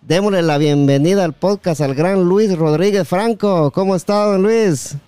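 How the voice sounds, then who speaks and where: A man's voice: high-pitched, normal speed, warm tone, smooth, dry recording. A man hosting a podcast in a conversational interview style. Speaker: podcast host.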